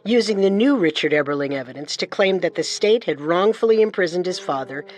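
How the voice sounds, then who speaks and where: A woman's voice: on the low side, lively intonation, brisk. A woman reading scripted true-crime narration. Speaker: narrator, co-host. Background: music.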